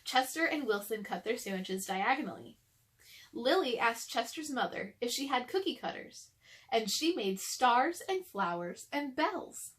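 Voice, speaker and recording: A woman reads a children's storybook aloud as narrator. Her voice is fairly high, and she delivers it as read-aloud narration for young listeners. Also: sing-song, a little slow. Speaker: storybook reader.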